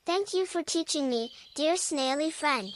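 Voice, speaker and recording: Bedtime-story forest critter, performed: small, gentle, childlike, very high-pitched, deliberate phrasing, faint nature sounds behind. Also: expressive, a little hiss or hum. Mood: gentle, curious. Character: gentle young forest animal friends, slow snail and companion. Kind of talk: bedtime story character dialogue. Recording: nature ambience with birdsong behind. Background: birdsong and forest ambience.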